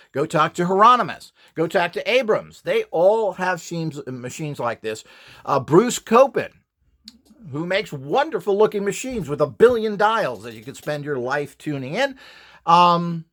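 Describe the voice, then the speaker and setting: Man with lively, swooping, slightly high voice. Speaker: instructor and device builder. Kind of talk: informal explanatory tutorial talk.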